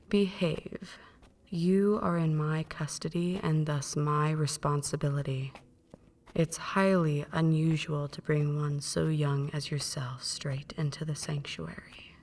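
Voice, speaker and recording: A woman playing a possessive, menacing vampire mistress in an intimate roleplay, teasing and manipulative, often slipping into breathy whispers. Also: low-pitched, fairly even intonation, slow, darkish tone. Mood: cool, controlling. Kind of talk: intimate ASMR roleplay monologue.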